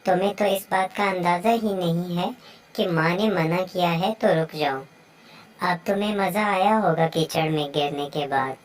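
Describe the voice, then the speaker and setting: A woman's voice: lowish voice, normal speed, recorded from a distance, natural voice. A woman voicing a caring but strict mother bird in a children's moral story cartoon. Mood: scolding.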